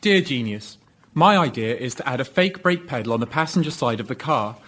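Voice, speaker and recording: A man appearing as a contestant on a comedy show, pitching and defending his idea to the panel. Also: animated, fairly quick. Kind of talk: reading out a letter, then defending it. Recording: loud audience noise behind voice.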